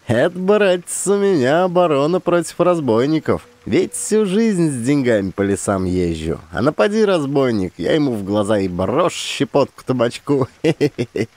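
A man voicing a boastful, fussy old man with a high, cackling voice. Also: a little mellow, no echo.

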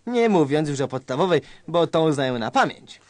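A man acts out an exasperated colonel in a satirical radio sketch. The voice is high and swings wildly, recorded dry. He gushes with heavy sarcasm and theatrical emphasis. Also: lively intonation, moderate pace, darkish tone, no echo, close to an everyday voice. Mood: sarcastic. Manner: theatrical, emphatic, repeating words in mock praise. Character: exasperated, agitated male police colonel, sarcastically gushing. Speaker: sketch performer.

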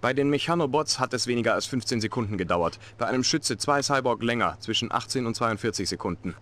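A man's voice: mid-range voice, lively intonation, quick pace, a bit bright, close to an everyday voice. A middle-aged man playing a dutiful, defensive Soviet military officer in a dubbed video game cutscene, formal and clipped.

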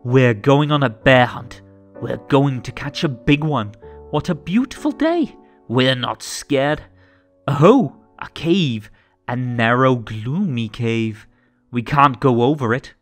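A man reading a children's story aloud in a theatrical, rhythmic and expressive way. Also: medium pitch, slow pace. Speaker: storybook narrator. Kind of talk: animated children's read-aloud. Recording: music under the voice. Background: music.

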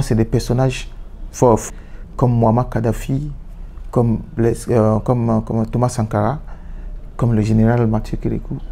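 Male, 30s, Yoruba first language; gravelly, mellow tone; halting, reflective interviewee on a sofa, light hiss. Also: mid-range voice. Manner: reflective, with frequent restarts and repeated words. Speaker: musician. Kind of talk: interview answers. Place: indoor room, seated on a sofa.

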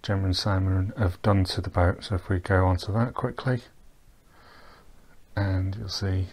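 A man giving a relaxed, rambling voice-over walkthrough of a virtual boat tour, with frequent ums and restarts. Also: low-pitched, unhurried. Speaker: tour guide. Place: recorded while wearing VR goggles, not on the boat.

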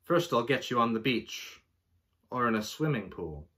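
A man in his twenties giving a mock-dramatic poetry reading in a pompous, deliberate way, recorded in a bedroom a short distance from the mic. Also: mid-range voice, sing-song, pushed and bright. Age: 20s. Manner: theatrical, deliberate, pompous delivery. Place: small bedroom, indoors.

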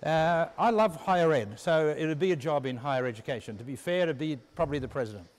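Middle-aged man, Australian accent, slightly high pitch, measured hesitant answers, echoey hallway interview. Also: lively intonation. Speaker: business school dean, interviewee. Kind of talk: unscripted walking interview answers. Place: walking through a university building.

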